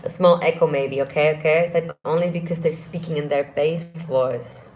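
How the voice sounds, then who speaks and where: Deep-voiced female vocal coach, relaxed live Q&A delivery, low-ish register. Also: normal speed, a little hiss or hum, poor audio. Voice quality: deep speaking voice. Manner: demonstrates vocal exercises, reads out viewer questions. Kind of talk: live Q&A singing lesson.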